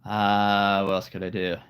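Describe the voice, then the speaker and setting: A man with a very deep voice chatting casually on a live stream, relaxed and rambling. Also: clean tone. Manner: relaxed, rambling, laughs often. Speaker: live streamer and hobby voice actor.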